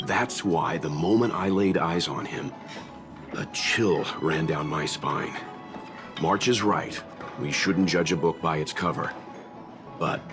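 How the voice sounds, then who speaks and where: Deep, measured gentleman mentor. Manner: measured, courteous, serious. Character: calm, grave, thoughtful gentleman mentor in adulthood. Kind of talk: video game English dub acting.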